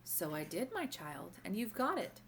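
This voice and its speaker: A woman voicing a kindly, wise old grandmother in a storybook, gently and formally giving instruction. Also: medium pitch, big pitch swings, normal speed, creaky, slight background noise, rough recording. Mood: reassuring. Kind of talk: storybook read-aloud character voice.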